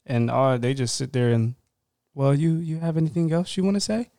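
A man co-hosting a podcast, asking questions in a supportive way with quick asides and filler words. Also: fairly high pitch, expressive, mellow, dry recording, clean studio sound. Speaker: podcast co-host. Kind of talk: casual podcast chat.

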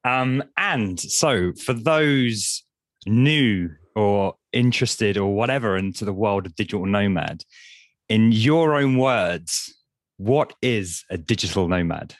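A man's voice: lowish voice, expressive, slow, dry recording. A man hosting a tech podcast, giving an upbeat intro and asking casual follow-up questions. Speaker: podcast host. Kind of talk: podcast interview.

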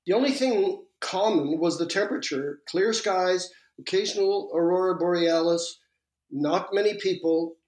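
Older Swedish-Canadian designer, higher voice, reflective and halting, roomy sound. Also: male voice, a little mellow. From Sweden and Canada. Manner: measured, with frequent pauses and uhs. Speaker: guest, industrial designer. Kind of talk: reflective interview talk.

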